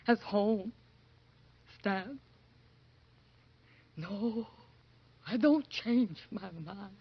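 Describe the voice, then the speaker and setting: Slow, grieving immigrant wife. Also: female voice, mid-range voice. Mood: grieving, fearful. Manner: halting, broken English. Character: frightened, grieving immigrant wife, plaintive and humble. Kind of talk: 1940s radio drama acting. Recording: dull vintage 1940s radio recording.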